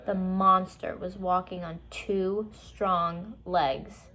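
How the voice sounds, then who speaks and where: A woman reading a children's story aloud, with expressive, deliberate and dramatic narration. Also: lowish voice, slight background noise.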